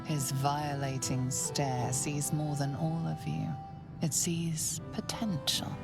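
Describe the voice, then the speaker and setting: A woman playing the hushed, grave narrator of a fantasy video game, reading scripted narration. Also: low voice, little pitch movement, slow pace, vocal fry, over music, exaggerated voice.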